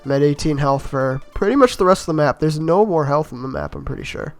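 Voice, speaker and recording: Slightly raspy man narrating gameplay. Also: a little high, expressive, quick pace, faint music behind. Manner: easygoing, rambling, self-mocking with chuckles. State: slightly raspy from being sick. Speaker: gameplay commentator. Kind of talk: casual voice-over commentary on a game run.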